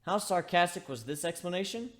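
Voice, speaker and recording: Man as an awkward, high-voiced, exasperated male griffin. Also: expressive, fairly quick. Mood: sarcastic exasperation. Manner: emotional, sarcastic.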